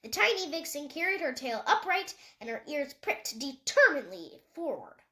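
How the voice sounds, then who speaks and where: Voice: high-pitched, big pitch swings, normal speed, sharp tone. A young reader, a child or young teen, narrating a story read aloud from a book. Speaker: audiobook reader.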